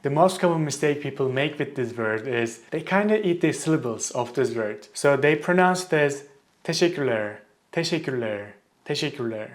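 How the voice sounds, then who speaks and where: A man's voice: mid-range voice, lively intonation. Turkish-accented man teaching pronunciation. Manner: clear, deliberate, slows to model pronunciation. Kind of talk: language lesson to camera.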